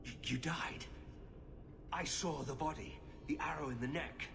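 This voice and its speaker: An actor playing a stern, guarded, dutiful warrior scout with a grave manner in a fantasy video game. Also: male voice, fairly high pitch, measured pace, creaky. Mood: incredulous. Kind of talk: fantasy game cutscene acting. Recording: noisy ambience behind dialogue.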